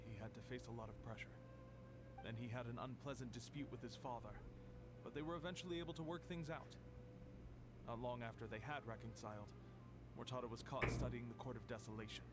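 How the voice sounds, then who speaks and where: An actor playing a grave, weary archive official in a video game, speaking in a measured, serious, explanatory way. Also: male voice, medium pitch, fairly even intonation, over loud music, natural voice. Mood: somber. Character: grave, weary male archive official recounting past events. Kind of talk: video game voice acting, expository dialogue. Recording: noisy background on game stream.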